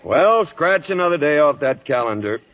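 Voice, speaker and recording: A man acting a gruff, dry-humoured veteran cavalry captain in an old-time radio drama, clipped, authoritative and wry. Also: fairly high pitch, lively intonation, moderate pace, fairly bright tone. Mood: dry, curt. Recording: dull vintage radio broadcast, music behind.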